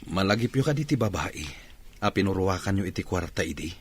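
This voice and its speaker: Low-voiced Ilocano young man, proud but humbled. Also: animated, fast talker, a little hiss or hum. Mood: dejected. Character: proud young man humbly seeking work, deferential son. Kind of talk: Ilocano radio drama acting.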